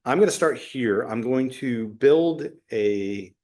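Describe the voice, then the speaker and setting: A middle-aged man talking unscripted as a solo podcast host, reflective and halting, often pausing mid-phrase. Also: mid-range voice, animated, a little mellow. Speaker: podcast host, special education teacher.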